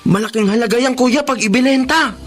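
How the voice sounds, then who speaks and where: A man's voice: very high-pitched, a little fast. A man playing a helpful, eager student and supportive younger brother in a Tagalog radio drama. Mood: impressed.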